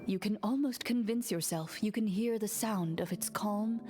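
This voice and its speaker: A woman voicing a composed duelist in a video game, calm and measured as she narrates. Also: mid-range voice, natural voice. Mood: narrating. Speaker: game character. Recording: game audio with music.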